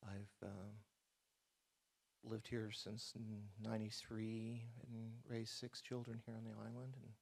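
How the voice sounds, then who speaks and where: A man with a lowish, flat, gravelly voice introduces himself on a panel. He speaks plainly and without hurry. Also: takes it slowly. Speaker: interfaith council co-chair. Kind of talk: self-introduction.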